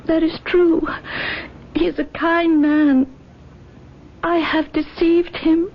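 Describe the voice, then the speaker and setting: An actress playing an anguished, guilt-ridden young bride in an old-time radio drama, pleading with halting, tearful delivery. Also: female voice, very high-pitched, flat delivery, full character voice. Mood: confessing. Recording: dull lo-fi radio drama recording.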